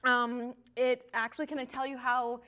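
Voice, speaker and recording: A woman chatting casually as a guest, with a bubbly, excitable, giggly way of talking. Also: fairly high pitch, normal speed. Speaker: guest, studio production coordinator. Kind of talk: casual chat.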